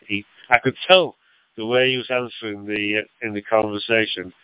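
An older man with a Lancashire accent, an amateur radio operator chatting in an easygoing, halting way over a radio link. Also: on the low side, fairly even intonation, smooth, dry recording. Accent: Lancashire English. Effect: two-way radio. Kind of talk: casual radio chat with anecdotes and call signs. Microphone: radio transceiver microphone. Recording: phone-like digital radio gateway link.

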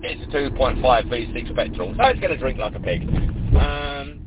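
A man's voice: mid-range voice, lively intonation, moderate pace. A man on a two-way radio, chatting casually in a rambling, hesitant way with many fillers. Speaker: radio amateur. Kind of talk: casual chat over the air. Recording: tinny radio channel with noise.

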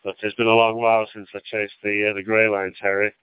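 An older man with a Lancashire accent, an amateur radio operator chatting in an easygoing, halting way over a radio link. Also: fairly low pitch, little pitch movement, smooth, no echo. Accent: Lancashire English. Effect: two-way radio. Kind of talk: casual radio chat with anecdotes and call signs. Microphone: radio transceiver microphone. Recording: phone-like digital radio gateway link.

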